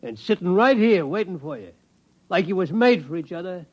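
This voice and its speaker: A man's voice: high voice, animated, moderate pace, darkish tone. An actor playing a slick, folksy used-spaceship salesman with boastful, wheedling patter in a 1950s radio drama. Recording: muffled vintage radio recording.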